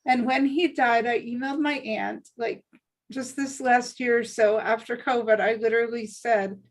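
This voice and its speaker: A woman's voice: fairly high pitch, steady tone, normal speed. A woman chatting casually and conversationally in a group discussion. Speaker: table read participant. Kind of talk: casual chat.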